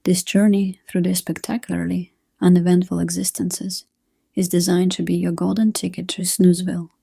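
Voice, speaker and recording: A woman reading a scripted sleep hypnosis session in a gentle, hushed, soothing manner. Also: on the low side, level tone, moderate pace, vocal fry, husky, no room sound, clean studio sound. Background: soft new-age music.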